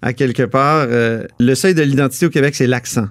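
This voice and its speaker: A man's voice: mid-range voice, a little fast, dry recording, clean studio sound. A man with a Quebec French accent hosting a radio interview, probing with questions and cutting in. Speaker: radio host.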